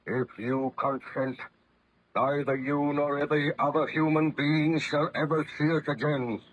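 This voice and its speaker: A man's voice: a little high, little pitch movement, a little slow, phone-quality sound. A man playing an anguished, vengeful monster in solemn, theatrical 1930s radio style. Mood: solemn promise. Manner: solemn, grandiose, theatrical declamation. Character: anguished, menacing male monster, hideous, articulate, vengeful.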